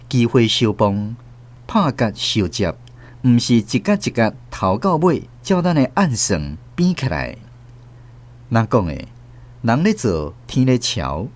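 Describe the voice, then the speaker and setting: A man with a Taiwanese accent narrating a radio drama in the first person, as its host and storyteller. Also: medium pitch.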